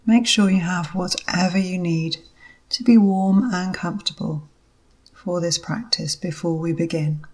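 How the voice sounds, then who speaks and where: A woman leading a guided yoga nidra meditation as instructor, giving measured instructions in short phrases with pauses between them. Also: on the low side, poor audio.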